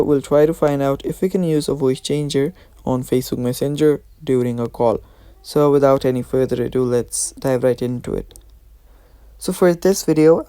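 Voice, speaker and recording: A man narrates a casual how-to tutorial as its host. His voice is soft-edged and relaxed, with a smooth, clean tone. The sound is dry and close, and his phrasing is informal. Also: medium pitch, no room sound.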